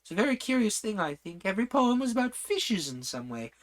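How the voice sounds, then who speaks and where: A man's voice: high voice, very animated, a little fast, voice pushed up. Man doing a polite, anxious young girl. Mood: curious. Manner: earnest, hesitant, polite.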